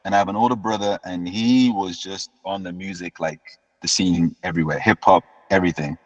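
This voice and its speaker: A man, telling his story as an interview guest in a relaxed way and often repeating words as he restarts. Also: deep voice, a little fast. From United Kingdom. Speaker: guest musician. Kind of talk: casual interview chat. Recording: dull lo-fi sound with long reverb.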